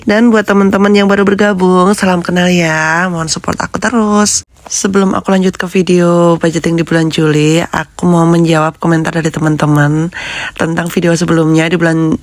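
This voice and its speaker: A woman vlogger with a hoarse, congested voice from a cold, chatting with viewers as friends and steadily reading out figures. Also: on the low side, no room sound. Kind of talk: casual budgeting vlog talk.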